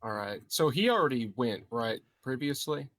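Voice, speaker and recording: A man's voice: medium pitch, big pitch swings, normal speed, a bit bright, dry recording, studio quality. A man chatting casually as a player in a tabletop roleplaying game. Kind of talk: casual chat during a game.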